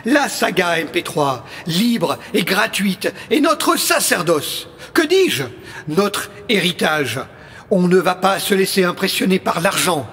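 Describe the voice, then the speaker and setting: A man's voice: high-pitched, big pitch swings, takes it slowly, a bit bright, heavily acted. A man playing a pompous, impassioned leader of an amateur resistance in an audio comedy drama, speaking in grandiloquent declamation. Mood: rousing, pompous.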